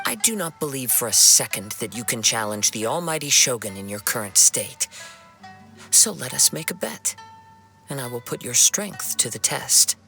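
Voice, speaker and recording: A man voicing a calm, principled young wandering swordsman in a video game, with measured, earnest, formal delivery. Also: mid-range voice, vocal fry, exaggerated voice. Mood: resolute. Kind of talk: dramatic video game dialogue. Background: music.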